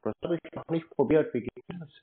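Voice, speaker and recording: A man plays the customer in a casual phone conversation. He comes across as naive and a bit slow on the uptake, and the sound is thin and phone-like. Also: fairly high pitch, breathy edge. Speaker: prank caller posing as customer.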